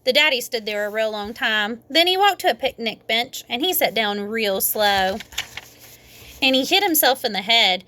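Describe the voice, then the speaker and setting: A woman reading a children's book aloud in an expressive, emphatic manner. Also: fairly high pitch, dry recording. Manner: animated, emphatic delivery with dramatic slowing. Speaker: storybook reader.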